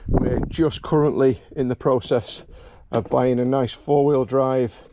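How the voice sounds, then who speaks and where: A man's voice: mid-range voice, fairly even intonation, measured pace, lo-fi sound. A middle-aged man, a boat mechanic, talking through an outboard service in a chatty way with dry humor, recorded outdoors on a head-worn camera. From Wales. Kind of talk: hands-on how-to vlog narration. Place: outdoors beside a boat trailer by grassy dunes. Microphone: head-mounted camera mic. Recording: noisy outdoor camera audio.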